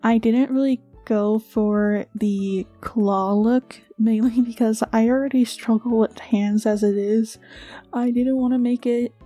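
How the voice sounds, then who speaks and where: A woman's voice: fairly high pitch, steady tone, a little slow, mellow, soft background music. A young woman talking casually over her animation video, chatty and rambling, laughing often between fillers.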